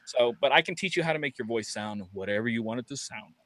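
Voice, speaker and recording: A man co-hosting a live show, a DJ talking casually in a blunt, animated, joking way. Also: medium pitch, fast talker, no room sound. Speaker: co-host, DJ.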